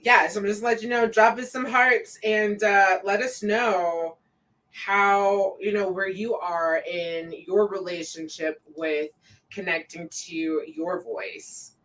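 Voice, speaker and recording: A woman co-hosting a casual live stream, relaxed and loose with plenty of fillers, reading out viewer comments. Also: takes it slowly, far from the mic, rough recording. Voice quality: says her own voice sounds deep to herself.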